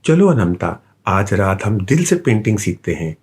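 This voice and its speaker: A man voicing a gentle, encouraging magical female cat in a children's storybook, reassuring like a wise mentor. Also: on the low side, very animated, normal speed, breathy edge, pitched below the performer's own voice, heavily acted.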